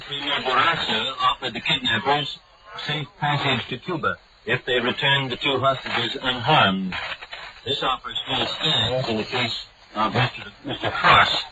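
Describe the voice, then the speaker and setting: A man announcing a formal news bulletin in a measured, even read delivery. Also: mid-range voice. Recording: muffled hissy old shortwave broadcast.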